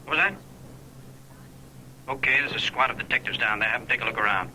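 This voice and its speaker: An actor playing a brisk, commanding police captain over the telephone in a 1940s radio drama. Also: male voice, medium pitch. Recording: sharp, hissy old radio phone line.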